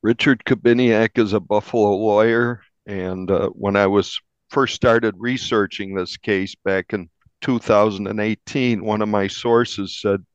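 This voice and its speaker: An American man speaks in a fairly low voice, recounting his reporting in a conversational interview style. He sounds like a journalist being interviewed. The recording is dry and a little dull. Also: dry recording. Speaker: investigative newspaper reporter, interviewee.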